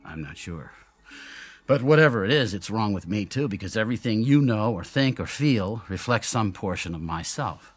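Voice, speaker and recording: A man's voice: fairly low pitch, lively intonation, normal speed, husky, dry recording, faint music behind. An actor in a radio drama playing a brilliant, lonely male inventor who explains himself in theatrical, brooding monologues. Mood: grave, frank. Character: brilliant, lonely, self-doubting male inventor of an android double.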